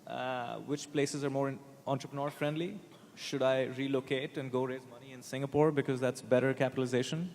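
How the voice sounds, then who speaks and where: A man moderating a panel, asking quick questions with light humor. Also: fairly even intonation, a little mellow. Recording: reverberant hall with faint rumble.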